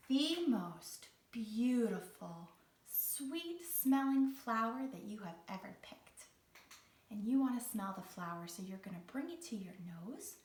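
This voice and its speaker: Slow, high-voiced woman hosting for children, roomy echo. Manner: encouraging, theatrical, carefully enunciated phrasing with check-in questions. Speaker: teaching artist and children's read-along host. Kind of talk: guided exercise and storytelling for young children. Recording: roomy echo, distant from the mic.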